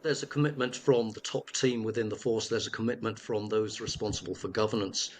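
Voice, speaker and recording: A male interviewer with a fairly low voice asks long, formal questions, halting often and searching for words. He sounds courteous and deliberate, pausing between phrases. Faint noise sits under his voice. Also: steady tone. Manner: halting, formal, many fillers and restarts.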